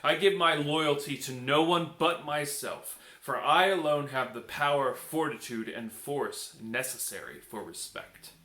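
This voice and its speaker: A man voicing a proud, scornful traitor who defies his king in a storybook, precise and deliberate. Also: fairly high pitch, bright, forceful tone. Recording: echoey room.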